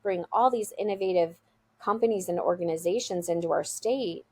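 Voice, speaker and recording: A woman's voice: fairly low pitch, moderate pace, husky. A woman, a school founder, answering interview questions in an earnest, emphatic way, often restarting her words. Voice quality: loud voice. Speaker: school founder, podcast guest.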